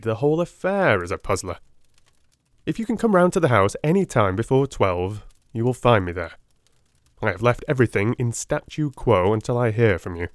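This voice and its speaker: A man narrating an audiobook as dramatic literary reading, in a theatrical, deliberate manner. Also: medium pitch, a little mellow, no room sound, clean studio sound.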